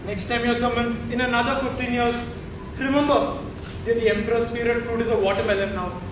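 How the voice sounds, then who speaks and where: High-voiced courtier, echoing stage. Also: male voice, level tone, a little fast. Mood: confiding, grave. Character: dutiful, chatty royal palace attendant. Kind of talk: theatrical stage acting. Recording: echoey stage, distant mic, noisy.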